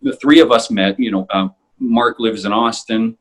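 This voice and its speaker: A man hosting a podcast, giving a casual introduction in a halting way, often repeating himself as he searches for words. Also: medium pitch. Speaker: podcast host.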